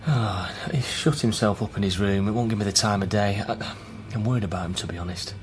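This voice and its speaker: A man's voice: fairly low pitch, fairly quick, faint music behind, lightly acted. An actor playing an earnest man researching his family history in a naturalistic radio drama. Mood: worried.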